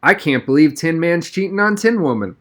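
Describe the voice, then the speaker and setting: A man's voice: a little high, lively intonation, brisk, fairly bright tone, pitched above the performer's own voice. A man reading a storybook as a teasing schoolboy, mocking and mock-serious in manner.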